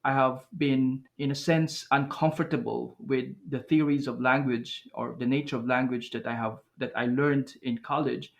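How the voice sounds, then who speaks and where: A man's voice: mid-range voice, steady tone, normal speed, vocal fry, few pauses, muffled recording. A man from the Philippines whose first language is Aklanon, speaking as a guest researcher in a podcast interview, thoughtful, with many fillers and restarts.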